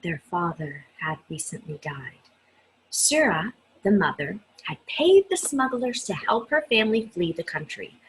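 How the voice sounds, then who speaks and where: Breathy, expressive woman reading a story aloud. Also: lowish voice, unhurried, rough recording. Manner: expressive, addresses young listeners directly. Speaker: children's story reader. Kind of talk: read-aloud storytelling with personal asides.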